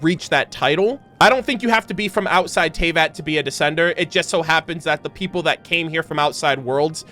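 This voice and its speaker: A man's voice: on the high side, brisk, few pauses, bright, forceful tone. A man giving casual reaction commentary, thinking aloud in a musing, self-questioning way. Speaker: YouTube reactor and game lore commentator. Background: background music.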